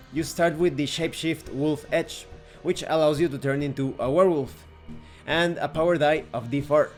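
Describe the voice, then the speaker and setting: A man narrating a scripted tabletop game review in a methodical, explanatory way, as the host. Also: fairly high pitch.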